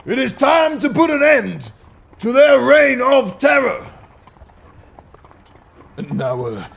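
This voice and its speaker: An Old West sheriff with a high, creaky voice and a drawl. He blusters and hesitates in a folksy way, swinging widely in pitch as he makes his speeches for an audio drama. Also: fairly bright tone, slight background noise, full character voice. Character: blustering, hesitant small-town western sheriff rallying a posse. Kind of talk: western audio drama acting.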